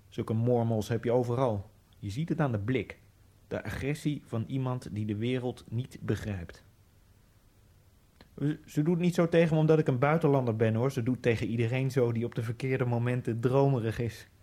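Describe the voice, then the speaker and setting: A man's voice: mid-range voice, moderate pace, mellow. Man narrating a brooding radio monologue. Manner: brooding, dreamy inner monologue with sudden bursts. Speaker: Egyptian immigrant narrator.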